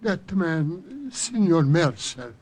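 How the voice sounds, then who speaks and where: Timid elderly landlord, high voice, halting, pleading, roomy vintage radio sound. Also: male voice, big pitch swings, darkish tone, a little hiss or hum. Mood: frightened. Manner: hesitant, pleading. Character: frightened, submissive old Italian landlord man. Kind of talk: old-time radio drama acting.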